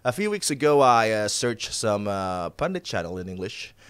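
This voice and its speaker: A man's voice: lowish voice, big pitch swings, normal speed, fairly bright tone, smooth, no room sound. A man hosting a casual football talk show, hesitant with many filler words.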